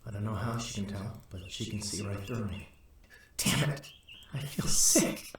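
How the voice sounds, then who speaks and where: A man voicing a troubled young man's hushed inner thoughts in an audio drama, with an echo effect. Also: fairly low pitch, measured pace, a little hiss or hum. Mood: anxious, despairing. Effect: heavy echo for inner thoughts. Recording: heavy echo, distant, music behind.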